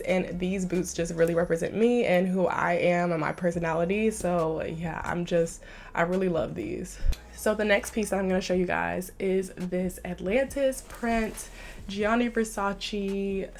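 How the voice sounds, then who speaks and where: A woman's voice: lowish voice, steady tone, measured pace, a little hiss or hum. A young woman with a stuffy nose from a slight cold, chatting enthusiastically to camera in a casual fashion vlog. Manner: chatty and enthusiastic, says "like" often. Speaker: fashion vlogger.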